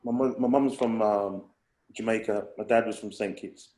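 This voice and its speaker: A man's voice: fairly low pitch, steady tone, normal speed, dark tone, roomy sound. A man, a guest in casual conversation, reflective and rambling with restarts. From England. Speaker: guest, hip hop artist.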